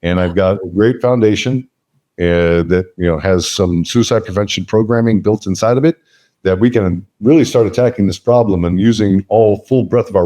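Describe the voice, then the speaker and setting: Male guest, low mellow voice, emphatic and blunt, loud delivery. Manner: emphatic, blunt, swears freely. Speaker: podcast guest, veteran business leader. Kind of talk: casual interview talk.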